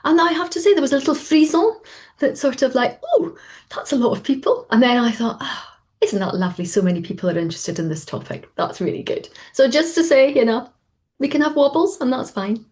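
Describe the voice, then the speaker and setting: A woman, an executive coach, talking on a webinar in an animated, storytelling way. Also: high voice, a little fast. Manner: animated, lively storytelling with acted quotes. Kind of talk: conversational webinar interview.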